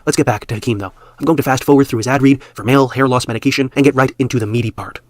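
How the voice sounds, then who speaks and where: A man narrating a scripted video essay, laying out his argument in a brisk, measured way. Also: mid-range voice, fairly even intonation, dry recording.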